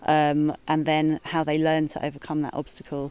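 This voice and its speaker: Smooth, low-voiced woman explaining her work. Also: monotone, brisk, darkish tone. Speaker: member of a radio drama production team. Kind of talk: documentary interview explanation.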